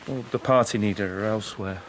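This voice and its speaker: An actor playing a wary, melancholy English party man in a dystopian radio drama, speaking in a halting, guarded, terse manner. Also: lowish voice, a little hiss or hum, close to an everyday voice. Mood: halting, subdued. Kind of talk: naturalistic radio drama acting.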